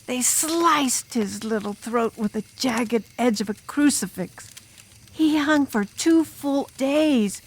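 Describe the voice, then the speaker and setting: Casting: woman as enigmatic drum major spinning horror tales by the fire; unhurried, breath-roughened, menacing, dramatic audiobook delivery. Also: fairly high pitch, rough recording. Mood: grave, menacing. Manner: ominous, drawn-out, dramatic, sometimes dropping to a whisper. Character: enigmatic drum major student telling a campfire demon horror tale. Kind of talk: audiobook ghost story narration in character.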